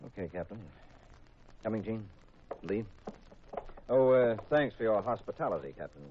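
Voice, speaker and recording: An actor playing a cool, probing private detective in an old radio drama, brisk and matter-of-fact, on a muffled, noisy recording. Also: male voice, lowish voice, lively intonation, natural voice. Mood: cool, decided. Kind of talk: 1940s-50s radio drama acting.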